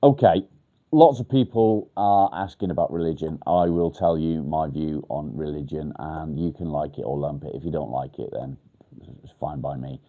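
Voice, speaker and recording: A low-voiced man chats bluntly with his live viewers, going straight to the point. His tone is deeply mellow and dark, and a blocked nose makes him sound nasal and stuffy. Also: normal speed. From England. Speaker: livestream host. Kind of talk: casual live chat answering viewer comments.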